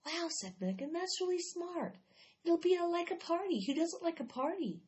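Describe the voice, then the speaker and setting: A woman's voice: very high-pitched, big pitch swings, normal speed, darkish tone, lo-fi sound. A woman reading a children's chapter book aloud, lifting her voice for the children's lines. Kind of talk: read-aloud storytelling.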